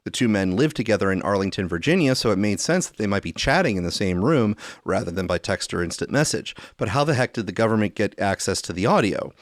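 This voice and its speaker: A man's voice: on the low side, lively intonation, fast, hardly any pauses, dry recording, studio quality. A man hosting a podcast, chatting and reading news stories aloud. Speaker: podcast host.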